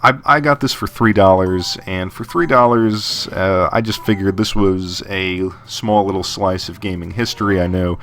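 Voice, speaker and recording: A man's voice: deep voice, normal speed, few pauses, a bit bright. A man doing a casual voice-over review of old console games, thinking aloud with fillers and restarts. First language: English. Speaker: game reviewer. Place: voice-over over game footage.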